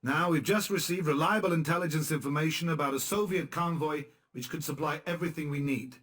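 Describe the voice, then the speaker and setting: A man's voice: fairly high pitch, brisk, fairly bright tone. An actor playing a resistance leader in a video game, blunt, dry and sarcastic as he briefs his men. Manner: dry, sarcastic, matter-of-fact. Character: dry, blunt male guerrilla commander. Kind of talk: video game cutscene acting and narration.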